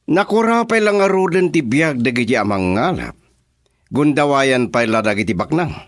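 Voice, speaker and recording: Casting: male actor for authoritative gang boss, Ilocano accent, radio serial, very animated pitch swings, close dry sound. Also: moderate pace, no echo, close to an everyday voice. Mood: scheming. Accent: Filipino, Ilocano. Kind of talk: Ilocano radio drama acting.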